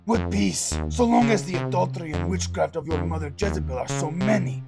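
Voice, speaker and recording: Gravelly, fierce zealous warrior. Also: female voice, fairly low pitch, brisk, over loud music. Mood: fierce, defiant. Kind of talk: dramatized Bible audio drama.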